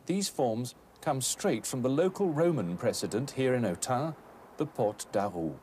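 A man in his thirties presenting a scripted educational documentary in a measured, articulate, expository manner. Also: mid-range voice, animated, a little mellow. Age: 30s. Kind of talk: scripted educational narration on architecture. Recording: noisy old documentary film soundtrack.

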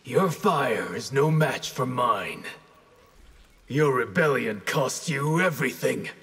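An actor playing a stern, honorable ninja grandmaster in a video game, speaking in a terse, resolute, formal way. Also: male voice, medium pitch, animated, takes it slowly, a bit bright, breathy edge, heavily acted. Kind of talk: video game character acting.

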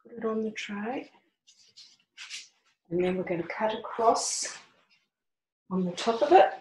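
A woman's voice: fairly high pitch, expressive, measured pace, darkish tone. An older woman hosts a home cooking demonstration, explaining each step in a chatty, homely way while she works in her kitchen. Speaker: cooking show host. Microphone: camera on the counter. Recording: echoey kitchen with clicks and noise.

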